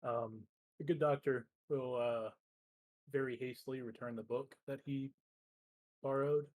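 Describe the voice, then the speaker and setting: A man's voice: mid-range voice, steady tone, a little slow, a little mellow, dry recording, low-quality recording. A man playing a tabletop roleplaying game, describing what his character does in a hesitant, informal way.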